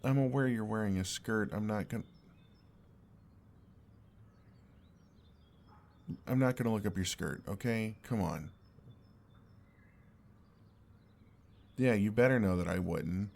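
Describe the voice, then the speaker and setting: A young man playing an exasperated, earnest and oblivious best friend in an intimate audio roleplay, speaking in a halting, conversational way. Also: fairly low pitch, vocal fry, a little mellow. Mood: mildly exasperated.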